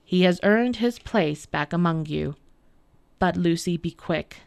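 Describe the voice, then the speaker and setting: A woman's voice: lowish voice, unhurried, gravelly. A woman voicing a kindly, noble male lion in a storybook reading, solemn and reassuring.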